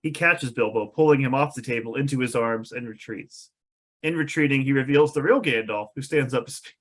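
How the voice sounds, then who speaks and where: A man's voice: medium pitch, fairly quick, fairly bright tone. Man narrating script directions in a medium voice. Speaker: narrator reading stage directions. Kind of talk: scripted narration at a table read.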